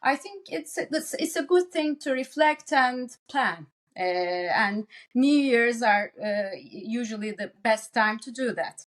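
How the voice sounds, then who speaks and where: A woman from Turkey with a Turkish accent, giving conversational interview answers as a psychiatrist, often halting and repeating herself as she searches for words. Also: a little high, expressive. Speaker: psychiatrist, podcast guest.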